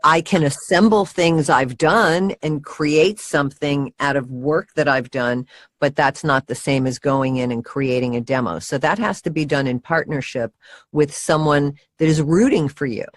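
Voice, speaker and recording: A voice coach speaks in a medium-pitched voice, giving practical advice to students. The delivery is conversational and earnest, with emphasis through repetition. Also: moderate pace, no echo.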